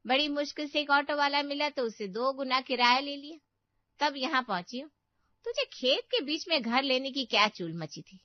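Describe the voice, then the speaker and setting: A woman's voice: high-pitched, lively intonation, normal speed, no room sound, pitched above the performer's own voice. A woman doing a scolding older aunt. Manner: scolding, boastful. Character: sharp-tongued older aunt, boastful elder sister. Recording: dull, muffled audio.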